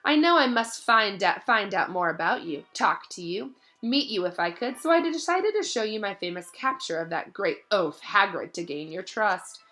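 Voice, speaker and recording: A woman voicing a cold, scheming young man who talks in a smooth, mocking, gloating way. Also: mid-range voice, animated, normal speed, hardly any pauses, pushed and bright, soft background music.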